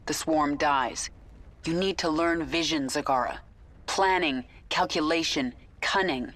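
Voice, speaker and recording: A woman's voice: sits low, fairly bright tone. An actress playing the commanding queen of an alien swarm, giving cold, authoritative orders in a game cutscene.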